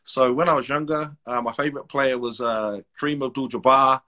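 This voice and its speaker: A man from Wellington with a New Zealand accent, telling stories in casual podcast chat, animated and full of restarts. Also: medium pitch, a little fast. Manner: animated storytelling, many restarts, slips into quoted voices. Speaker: guest, rapper and basketball MC.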